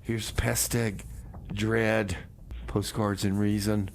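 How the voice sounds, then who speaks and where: A man's voice: lowish voice, flat delivery, slow pace. A man hosting a community radio show in a laid-back, informal way, with casual comic banter. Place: radio studio. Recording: faint noise on radio broadcast.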